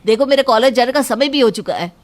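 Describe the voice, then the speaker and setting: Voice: mid-range voice, animated, fast, close to an everyday voice. A performer voicing an easygoing young college man in a household story, casually giving instructions. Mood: mildly annoyed.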